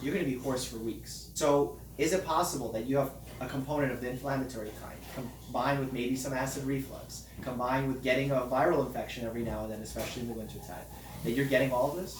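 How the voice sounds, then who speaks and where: A man who is a doctor, calmly explaining health matters in plain everyday words during a casual chat. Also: medium pitch, fast talker, reverberant, recorded from a distance, a little hiss or hum, low-quality recording. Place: hot room under studio lights.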